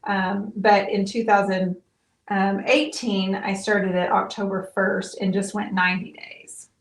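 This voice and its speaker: A middle-aged woman hosting a live painting stream, chatting casually and warmly with viewers, with frequent "um"s. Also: mid-range voice, level tone, a little slow, poor audio. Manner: chatty, friendly, talks to viewers with many fillers.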